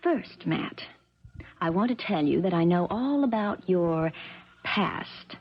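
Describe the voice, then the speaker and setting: A spoiled young heiress with a low, husky voice, cold and commanding. She schemes with calculated control in a 1970s radio play, her delivery swinging widely in pitch, on a dull old broadcast recording. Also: expressive, slow pace, full character voice. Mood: controlled, calculating. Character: cold, spoiled rich young woman plotting murder. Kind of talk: 1970s radio drama acting.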